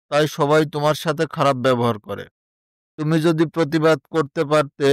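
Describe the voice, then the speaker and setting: A man acts out a kind, concerned male friend in an animated moral story. His voice is fairly high and clear, recorded dry. He talks sympathetically, like someone offering advice. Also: no echo, natural voice. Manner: sympathetic, advising. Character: kind, concerned male confidant of a mistreated girl.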